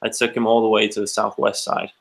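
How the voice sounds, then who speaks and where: Male co-host with a gravelly, somewhat low voice, dry and teasing, chatting casually. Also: monotone, fast talker. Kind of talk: casual chat over a call.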